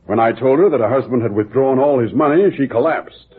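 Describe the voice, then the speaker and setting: An actor playing a polite, faintly uneasy banker in an old-time radio drama, formal and a little hesitant. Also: male voice, medium pitch, brisk, husky, close to an everyday voice. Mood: grave, puzzled. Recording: tinny old radio recording.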